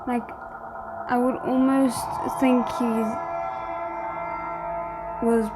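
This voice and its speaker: Higher-voiced woman giving casual commentary. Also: flat delivery, drawn-out words, loud music behind the voice, poor audio. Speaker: reaction video host.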